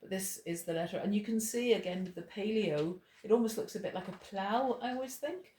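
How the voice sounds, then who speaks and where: A woman's voice: mid-range voice, brisk, mellow. A middle-aged woman from Ireland teaching a small study group, digressing into stories with frequent restarts. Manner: digressive, storytelling, many restarts. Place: indoor room with a small group. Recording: roomy echo, faint noise.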